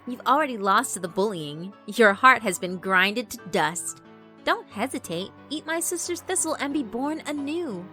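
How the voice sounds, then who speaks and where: A woman's voice: fairly high pitch, expressive, normal speed, pushed and bright, soft background music. A woman voicing a scheming yokai sister who taunts and gloats in a theatrical, cackling manner. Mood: taunting. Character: gleeful scheming yokai sister, cruel and mocking.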